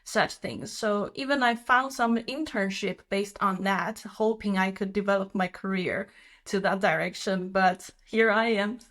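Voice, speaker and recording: A woman from China speaks English as a podcast guest. She is chatty and relaxed, laughs often, and pauses on fillers as she tells her story. Her voice sits at an ordinary middle pitch. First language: Chinese. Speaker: guest, company co-founder. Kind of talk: casual interview.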